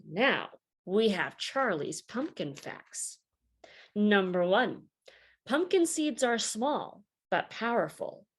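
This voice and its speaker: A woman reading aloud a story for children in a deliberate, expressive teacherly way. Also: medium pitch, husky.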